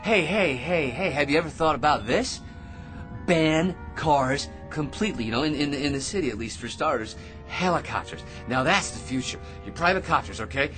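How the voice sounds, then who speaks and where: An actor playing an excitable, self-important eccentric in a radio drama, rambling and stammering through grand schemes with theatrical delivery. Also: male voice, fairly high pitch, normal speed, over music, lightly acted. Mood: excited rambling.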